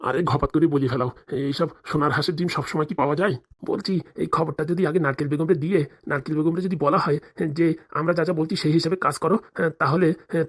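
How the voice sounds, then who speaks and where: A man's voice: on the high side, natural voice. A man voicing a greedy, scheming village policeman in a comic cartoon, with conspiratorial, rustic, colloquial speech.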